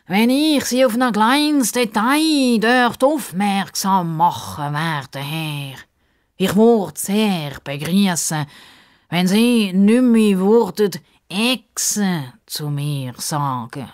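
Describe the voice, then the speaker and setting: Woman putting on a pompous, slow-talking male crocodile. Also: mid-range voice, lively intonation, pitched above the performer's own voice. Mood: offended, pedantic. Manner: pompous, drawn-out, over-polite formal speech.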